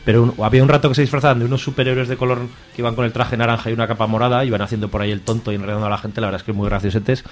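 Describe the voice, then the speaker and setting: A man's voice: mid-range voice, little pitch movement, rapid-fire, hardly any pauses. A talkative man with an accent from Spain, chatting casually on the radio about his hobby in a colloquial, jokey way. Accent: Spanish from Spain. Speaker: radio show contributor reviewing comics and fanzines.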